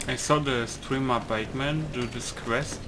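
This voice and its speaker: A man with a medium-pitched voice plays a game and comments casually as he goes. He sounds hesitant, often muttering to himself, with clearly noisy game ambience behind him. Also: fairly even intonation, a little slow. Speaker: gamer, let's player.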